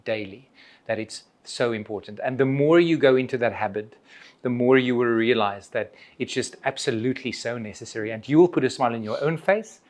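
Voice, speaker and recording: Reassuring male coach. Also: mid-range voice. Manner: reassuring, checks understanding often. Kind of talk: answering questions in a workshop Q&A.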